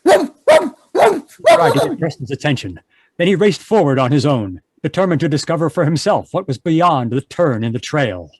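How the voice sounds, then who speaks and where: A man's voice: a little high, very animated, moderate pace, husky. A man giving scripted narration in the style of an old-time radio adventure drama. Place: home, live online video performance.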